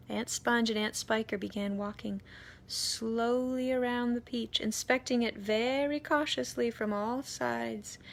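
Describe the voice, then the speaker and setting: A woman reading a children's story aloud expressively, deliberate in manner, with dramatic pauses. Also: on the high side, creaky. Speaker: storytime reader, priest.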